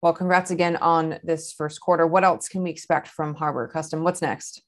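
A woman's voice: low-pitched, steady tone, normal speed. A young woman asking prepared questions as a business news interviewer. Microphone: lapel mic.

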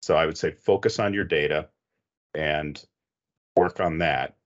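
A man's voice: very deep voice, a little slow. A middle-aged man giving methodical, conversational technical advice as a panelist on an online video call. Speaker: expert panelist. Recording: dull, muffled online call audio.

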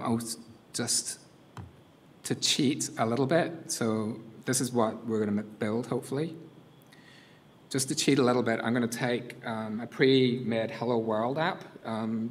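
A middle-aged man with a non-North American accent, giving a casual, halting live coding demo at a conference lectern, with many fillers and restarts. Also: mid-range voice. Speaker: conference workshop presenter. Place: conference room. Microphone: lectern mic.

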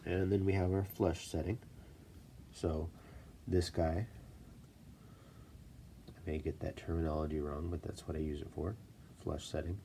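A man with a stuffy voice from a cold, casually explaining stone setting in a relaxed, self-deprecating way at his workshop desk. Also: sits low, unhurried, pauses often, a little hiss or hum. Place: workshop desk, filmed from above.

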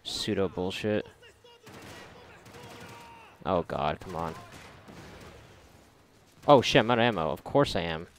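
A man giving casual, reactive commentary while gaming, sarcastic and foul-mouthed, with a gravelly voice. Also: low-pitched, expressive, slow, pauses often. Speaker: gamer recording a playthrough. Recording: noisy, game gunfire behind voice. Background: video game gunfire and ambience.

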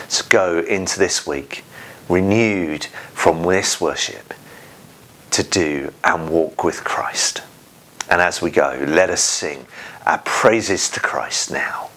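Slow-paced middle-aged male minister. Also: low voice, a bit bright. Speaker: church minister leading worship. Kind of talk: online worship service leading, prayer and notices. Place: small home conservatory, indoors.

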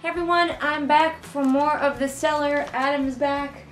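Young woman kinda high voice, snarky casual book rant from the couch, fed up. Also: steady tone, moderate pace, a bit bright, faint music behind. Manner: exasperated, mocking, informal. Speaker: book reviewer reading along. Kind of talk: casual sarcastic commentary on a novel. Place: living room couch, indoors. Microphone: camera a short distance away.

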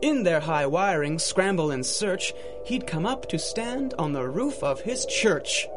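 A man's voice: high voice, lively intonation, measured pace, over music. A man giving theatrical, dramatic narration in rhyming storybook verse for a radio drama.

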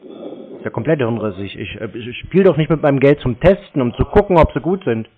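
Man, middle-pitched, blunt, dismissive, casual phone talk, thin phone-like audio. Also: expressive, fast, slight background noise. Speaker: prankster taking scam calls. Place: phone call. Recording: narrow, muffled phone-like sound.

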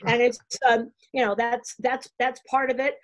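A woman's voice: on the high side, normal speed. Older woman from Boston on a video call. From Boston, USA. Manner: animated, talks with her hands, restarts often. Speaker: guest, baseball broadcaster. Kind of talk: casual interview storytelling. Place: room at home, framed pictures on the wall.

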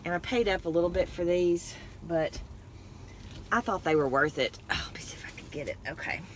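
A woman's voice: fairly low pitch, fairly quick. A woman, chatting casually and rambling about her finds from inside a car. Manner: chatty, rambling, laughs often. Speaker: vlogger. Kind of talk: casual haul chat. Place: parked car interior, then driving. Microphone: phone on dashboard. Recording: noisy car interior.

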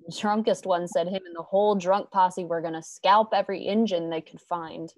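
A woman's voice: on the low side, fairly even intonation, normal speed, no room sound, natural voice. A woman playing a troubled, bitter frontier wife in a radio drama, weary and intense. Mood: upset.

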